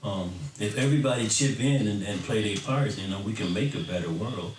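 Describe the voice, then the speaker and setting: A man's voice: lowish voice, fairly quick. A middle-aged American man talking casually and earnestly to his fans, in an informal, rambling way. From Florida, then Michigan, United States. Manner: informal, earnest, rambling, often tagging "you know". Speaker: electronic bass music artist. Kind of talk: casual reflective talk to fans. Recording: roomy, distant mic, faint background noise.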